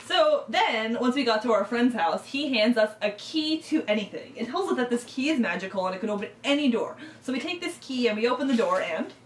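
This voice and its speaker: A woman with a slightly high voice chats playfully as she makes up a story for her channel. She sounds halting and silly. The room is echoey, with faint noise behind her. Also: expressive. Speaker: co-host of a YouTube channel. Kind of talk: casual improvised storytelling chat. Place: indoors, in a room set up for filming. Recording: roomy, distant mic, faint noise.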